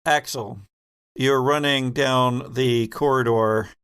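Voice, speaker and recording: Male game master for sci-fi horror roleplay: mid-pitched, slow and deliberate storytelling. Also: fairly even intonation, fairly bright tone, clear, resonant tone.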